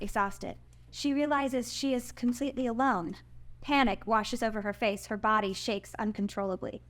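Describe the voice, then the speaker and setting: A woman with a high-pitched voice narrates a screenplay. She reads stage directions and scene headings as scripted narration. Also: a bit bright. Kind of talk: scripted narration at a live screenplay table read.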